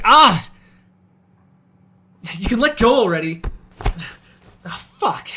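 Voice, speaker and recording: A man's voice: mid-range voice, fairly bright tone, slight background noise. A man voicing a hungry, cranky, flirtatious male vampire in an ASMR audio roleplay, sarcastic and given to swearing. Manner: sarcastic, swearing, moody, shifting from murmurs to exasperated outbursts.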